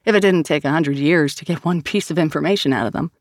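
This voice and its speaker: An audiobook narrator voicing a brisk, skeptical and impatient woman with a curt, blunt manner. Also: mid-range voice, no room sound, clean studio sound, natural voice. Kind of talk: audiobook character dialogue.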